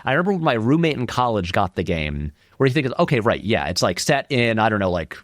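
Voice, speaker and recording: Animated man chatting about media, lively intonation. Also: lowish voice, quick pace, no room sound, studio quality. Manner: animated, discursive explaining, frequent "like". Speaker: interview guest, filmmaker and video essayist. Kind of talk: casual film commentary in conversation.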